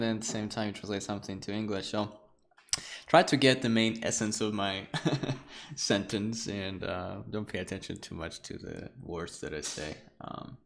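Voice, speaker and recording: A young man with Russian as his first language talks through an art lesson live. His pitch swings widely, and he keeps it loose and chatty with frequent laughs into a desk mic. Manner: animated, chatty, laughs often, loose wording. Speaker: art lecturer streaming a class. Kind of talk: casual livestream lecture.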